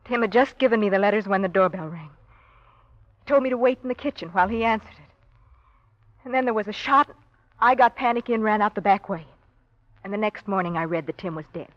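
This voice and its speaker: An American actress playing an anxious, earnest wife under suspicion in a 1940s-style radio drama, speaking with emotion. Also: female voice, medium pitch, brisk, pauses often, dry recording, close to an everyday voice. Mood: anxious, confessing. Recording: dull, dry old radio recording.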